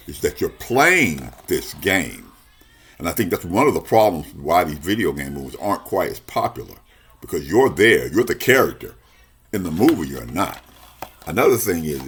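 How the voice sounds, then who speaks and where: A man's voice: rumbling low, slight background noise. A man hosting a review show, giving casual commentary straight to the audience and leaning emphatically on his key points.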